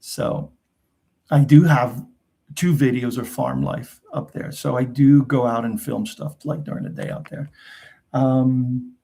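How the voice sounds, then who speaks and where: A middle-aged man with a New Jersey accent hosts a live Q&A in a gravelly, creaky voice. He rambles and chats, circling back and restarting his sentences. Portuguese is his first language, and his pitch sits at a middle level for a man. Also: fairly even intonation, a little slow, mellow. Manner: rambling, chatty, frequent fillers and restarts. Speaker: host. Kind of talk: casual live Q&A chat.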